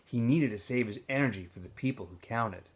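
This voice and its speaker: A man's voice: mid-range voice, a little fast. A man reading a children's novel aloud as a teacher would, in an informal way with small stumbles and self-corrections.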